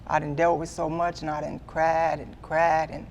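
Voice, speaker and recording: A man in his twenties giving a candid sit-down interview, earnest and halting, often repeating himself as he restarts. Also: fairly high pitch, completely flat delivery, creaky, muffled recording, a little hiss or hum. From Midwestern US. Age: 20s. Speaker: guest artist.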